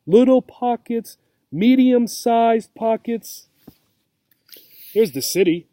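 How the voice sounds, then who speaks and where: A man reading a children's storybook aloud as a teacher would, with simple, deliberate phrasing and asides to young listeners. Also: high-pitched, dark tone, no room sound, clean studio sound.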